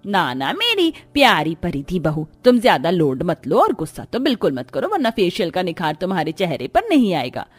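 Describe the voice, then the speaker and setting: A woman's voice: lowish voice, wildly swooping pitch, moderate pace, hardly any pauses, faint music behind. A woman voicing a greedy, partial older mother-in-law in a cartoon family drama, bossy and indulgent by turns. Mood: coaxing. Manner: coaxing to favourite, scolding to others.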